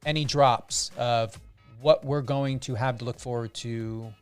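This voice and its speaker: Radio host guy, earnest and upbeat, doing shout outs, smooth clear voice, faint music behind. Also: mid-range voice, steady tone, unhurried. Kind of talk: casual radio interview.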